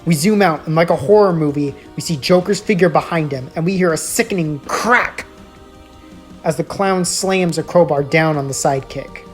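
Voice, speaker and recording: A woman's voice: low-pitched, normal speed. A woman reading a scripted story as a storyteller, in dramatic narration. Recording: music laid under the voice. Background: music.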